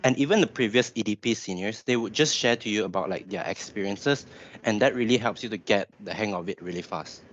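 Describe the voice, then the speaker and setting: A man's voice: fairly low pitch, fairly quick, fairly bright tone, dull audio, a little hiss or hum. A man in his twenties with a Malaysian accent, giving a webinar presentation in an informal explanatory way. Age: 20s. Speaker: graduate engineer presenter.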